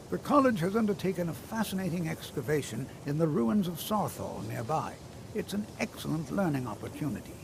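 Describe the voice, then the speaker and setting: An actor playing a calm elderly wizard who patiently and politely teaches magic in a fantasy video game. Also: male voice, a little high, gravelly, heavy background noise.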